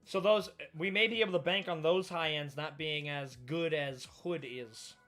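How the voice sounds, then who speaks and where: A man live-streaming a casual, excitable reaction read-through, swearing freely as he chats with viewers. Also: a little high, normal speed, soft background music. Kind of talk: casual livestream reaction chat while reading manga.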